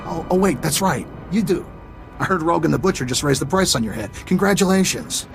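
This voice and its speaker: A man's voice: fairly high pitch, lively intonation, a little fast, vocal fry, over music. A man playing a smug, taunting, roguish smuggler villain in a video game cutscene. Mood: mocking. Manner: glib, mocking.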